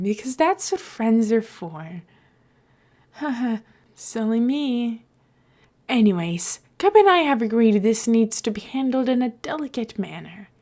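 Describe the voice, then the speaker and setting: A woman's voice: a little high, darkish tone, full character voice. A woman voicing a glib, scheming male mayor in an audiobook, with a nonchalant, playful and emphatic delivery. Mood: playful, animated.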